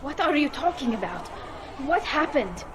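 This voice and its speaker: A woman's voice: sits high, sing-song, moderate pace, crowd noise, background noise. A woman playing an earnest young alien engineer accused of treason, in a dramatic video game. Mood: shocked, defensive.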